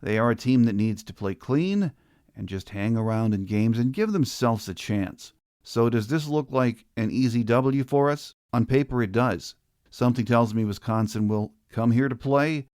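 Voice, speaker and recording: A man's voice: mid-range voice, moderate pace, a little mellow, no echo, studio quality. An American man hosting a college football podcast, reading scripted commentary. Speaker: podcast host.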